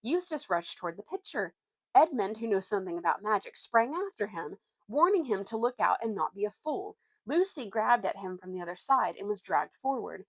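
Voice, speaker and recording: A woman's voice: on the high side, no echo. Woman reading a storybook aloud, dry sound. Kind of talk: expressive children's book read-aloud.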